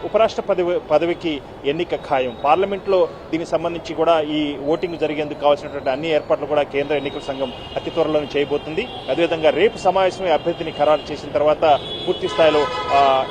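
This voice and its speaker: Male reporter live on location, kinda high voice, keeps stalling and repeating himself, bit of outside noise. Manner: halting, repetitive, with frequent filled pauses. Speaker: field news correspondent. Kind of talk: unscripted live news report.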